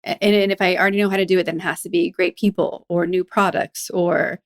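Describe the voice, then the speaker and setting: Woman podcast host, slightly low pitch, smooth tone, conversational. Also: fast. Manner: chatty and animated, with "like" and laughter. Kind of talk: conversational interview.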